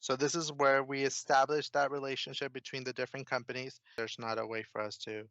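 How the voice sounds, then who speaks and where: Male software consultant, informal explainer, steady even intonation, dry close sound. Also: medium pitch, a little fast, dry recording, lo-fi sound. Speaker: software consultant, demo presenter. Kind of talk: casual screen-share software walkthrough.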